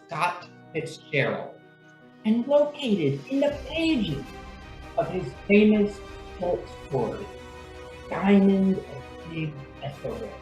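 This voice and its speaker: A woman announcer reading a scripted radio drama introduction in a theatrical, deliberate style. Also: on the low side, sing-song, darkish tone, roomy sound, lo-fi sound. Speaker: announcer and narrator. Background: music.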